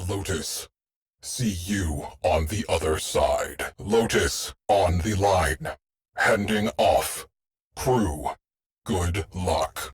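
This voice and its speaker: A man voicing a terse, dry shipboard AI tactician in a video game, speaking in clipped, deadpan military phrases. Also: low voice. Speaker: mission control voice. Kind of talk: scripted game voice lines.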